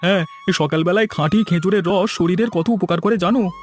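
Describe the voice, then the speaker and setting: A man's voice: high voice, a little fast, faint music behind, natural voice. A man playing a friendly, proud village palm-sap tapper in a cartoon, with a folksy manner. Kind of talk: cartoon dialogue.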